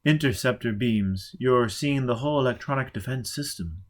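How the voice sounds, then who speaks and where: A man reading a blunt, earnest rough-edged man. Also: medium pitch, normal speed, close to an everyday voice.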